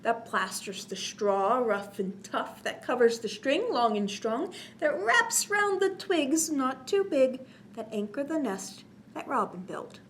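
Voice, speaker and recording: A woman hosting a children's story time, reading a picture book aloud with expressive, sing-song emphasis and pausing to explain and ask questions. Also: high-pitched, a little hiss or hum.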